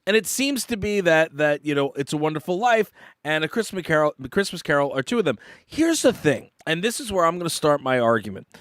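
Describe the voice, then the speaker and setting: A man co-hosting a live podcast, talking casually and haltingly, repeating words while searching for names. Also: fairly high pitch, big pitch swings, fairly bright tone, no echo. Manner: halting, repeats words, asks himself what to call things. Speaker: podcast co-host. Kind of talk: casual debate chat.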